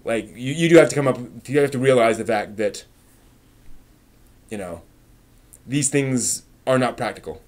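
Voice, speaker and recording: A man hosting a casual live stream, chatting and thinking aloud in a halting way, often trailing off as he answers viewers. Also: medium pitch, a little mellow.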